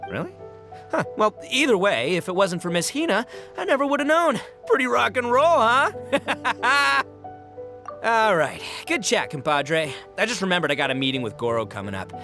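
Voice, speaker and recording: An actor playing a brash, jovial, slow-witted young gang boss who talks in breezy slang in a video game. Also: male voice, high voice, very animated, bright, forceful tone, soft background music, heavily acted. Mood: upbeat, oblivious. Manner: boisterous, slangy, dramatic. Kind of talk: video game cutscene acting.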